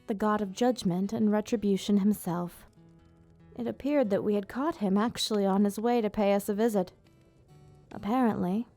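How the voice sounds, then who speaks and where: A woman playing an elegant vampire ruler, proud yet tender, speaking in formal, measured phrases in an ASMR roleplay. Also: mid-range voice, mellow, close to an everyday voice. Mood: grave, disdainful. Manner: formal, measured, theatrical diction. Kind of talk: ASMR roleplay, scripted monologue to listener.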